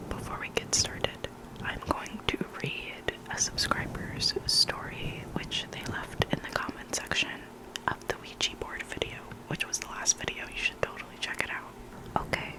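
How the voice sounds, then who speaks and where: ASMR host for spooky story readings, fully whispered and breathy, intimate and deliberate delivery. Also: low-quality recording.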